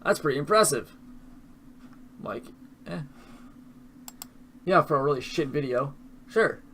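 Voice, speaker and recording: A man's voice: fairly high pitch, expressive, a little slow, fairly bright tone, slight background noise. Foul-mouthed young man mocking himself. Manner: profane, self-mocking. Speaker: YouTuber reacting to his old videos. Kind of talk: casual commentary.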